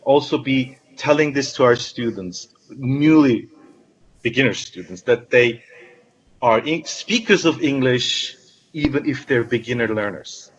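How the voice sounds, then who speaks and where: A man's voice: mid-range voice, a little slow. Male teacher on a panel, earnest and repetitive, muffled online sound. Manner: earnest, repeats words as he thinks. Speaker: university English teacher. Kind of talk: panel discussion remarks.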